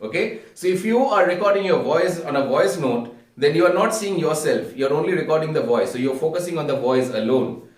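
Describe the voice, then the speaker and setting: A man with an Indian accent giving an instructional talk as a public speaking coach, earnest and deliberate, repeating phrases for emphasis. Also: fairly high pitch, lively intonation. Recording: echoey room, distant microphone.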